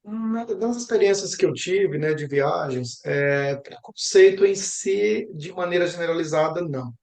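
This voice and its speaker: A fairly high-pitched male voice with audible fry and a Brazilian accent. He talks in a reflective, conversational interview style, adding small tag questions as he goes. The room echoes noticeably around him. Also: a little slow, a little mellow. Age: young adult. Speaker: geography professor interviewed about travel. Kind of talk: conversational interview answers, storytelling. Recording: roomy, echoing sound.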